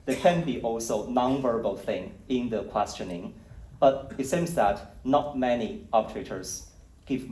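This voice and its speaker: A man presenting survey results at a conference, speaking in a measured, explanatory way with occasional fillers. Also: medium pitch, warm tone. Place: conference hall. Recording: roomy hall audio, mic at a distance.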